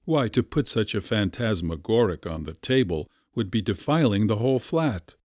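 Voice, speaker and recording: A man's voice: fairly low pitch, expressive, moderate pace, darkish tone, no room sound, studio quality. A man doing a hesitant, flustered family doctor. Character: middle-aged family doctor, polite, embarrassed.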